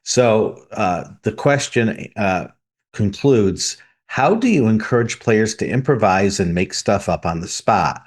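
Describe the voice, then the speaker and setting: A man's voice: on the low side, expressive, unhurried. A man co-hosting a tabletop gaming podcast, methodically laying out his points one by one in casual discussion. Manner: methodical, lays out numbered points. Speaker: podcast co-host.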